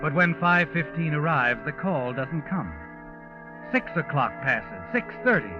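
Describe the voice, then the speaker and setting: Sinister radio narrator, taunting and knowing, highish voice, dramatic swoops, music behind, dull vintage recording. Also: male voice, lively intonation, a little slow. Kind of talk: 1940s radio suspense narration.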